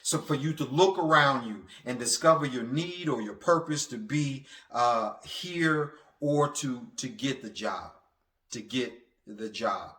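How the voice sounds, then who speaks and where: A man preaching a motivational sermon in a halting, stammering way, repeating phrases for emphasis. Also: mid-range voice, bright, forceful tone. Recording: echoey, reverberant room sound.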